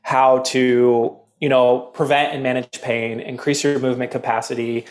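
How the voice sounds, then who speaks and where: A man appearing as a podcast guest, calmly explaining health and fitness topics in casual conversation. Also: mid-range voice, normal speed. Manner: calm, explanatory. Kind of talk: casual interview chat.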